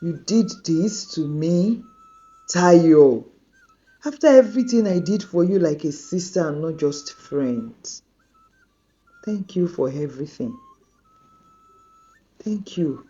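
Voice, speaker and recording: A woman playing a quiet measured wronged woman, slow, mellow, dull lo-fi sound. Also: mid-range voice, big pitch swings. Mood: calm. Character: calm wronged woman, dignified and forgiving.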